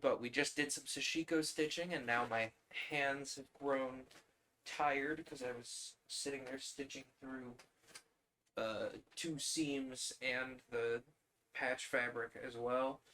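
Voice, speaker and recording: A man's voice: mid-range voice, steady tone, slow, pushed and bright, a little hiss or hum, low-quality recording. A man hosting a livestream, chatting casually and rambling as he talks to viewers and himself. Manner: rambling, talking to chat and himself. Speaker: livestream host.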